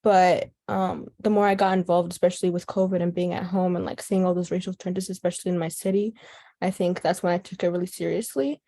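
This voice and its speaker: A woman's voice: fairly low pitch, fairly even intonation, brisk, gravelly, dark tone. A young woman from Chicago with an American accent, speaking casually and thoughtfully as an interviewee in an oral history. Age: teens to young adult. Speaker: youth organizer being interviewed.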